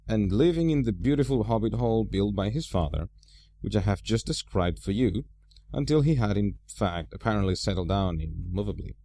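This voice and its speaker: A man narrating a storybook aloud as an amateur reader, with expressive, deliberate phrasing. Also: lowish voice, no echo.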